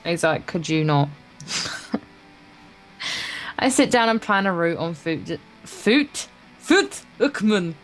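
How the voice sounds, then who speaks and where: A woman's voice: lowish voice, very animated, slow, pauses often, rough recording. A woman co-hosting a let's play, reading visual novel narration aloud, stumbling over words and adding wry, casual asides. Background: acoustic guitar game music.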